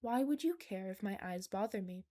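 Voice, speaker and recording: A woman's voice: medium pitch, lively intonation, mellow, lightly acted. A woman voicing an intelligent, whiskered captive creature in an audiobook, speaking precisely and without emotion. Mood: coolly curious. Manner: measured, unemotional, precise. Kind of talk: audiobook character dialogue.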